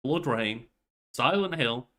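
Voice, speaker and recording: A man's voice: mid-range voice, animated, slow pace, bright, forceful tone. A young man co-hosting a podcast, chatting casually from a room on a video call. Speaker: podcast co-host. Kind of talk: casual movie chat.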